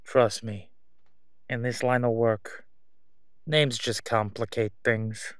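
A man's voice: mid-range voice, steady tone, slow pace, smooth, no room sound, clean studio sound, close to an everyday voice. A man playing a suave, flirtatious crime boss in an audio roleplay, drawing out his words teasingly. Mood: sly, flirtatious. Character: smooth-talking, flirtatious, sly male crime boss.

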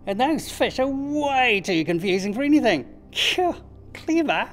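A woman voicing a curious, excitable young striped fish in a children's puppet show. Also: on the high side, measured pace. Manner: excitable, easily baffled.

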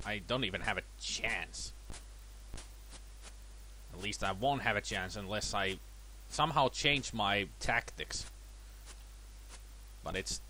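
A man's voice: slight background noise, low-quality recording. A man with a cold and a slightly worn voice, casually commenting on his own boxing gameplay in a self-deprecating way. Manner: chatty, self-deprecating, lively swings in pitch. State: has a cold, voice a bit worn. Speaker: let's play gamer.

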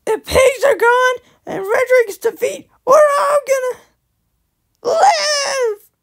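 A woman voicing an excitable orange cartoon bird in a frantic, shrieking manner for amateur fan lines. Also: very high voice, slow pace, creaky, heavily acted.

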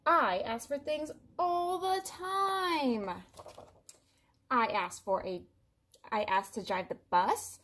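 A woman voicing an indignant, dramatic male pigeon from a picture book, complaining in an exasperated, drawn-out, emphatic way. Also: high-pitched, expressive, fairly bright tone, full character voice. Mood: exasperated, pleading.

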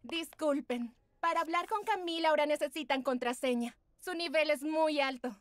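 A woman's voice: high-pitched, moderate pace, no room sound, studio quality, natural voice. A woman voicing a snooty teenage sidekick in a cartoon dub, officious and condescending. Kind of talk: dubbed cartoon acting.

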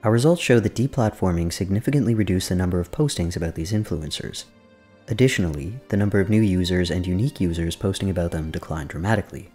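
A man reading a research summary aloud in a flat, formal way, over faint background music. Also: low-pitched, fast talker.

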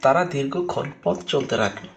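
Creaky-voiced male story narrator. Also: mid-range voice, a little fast.

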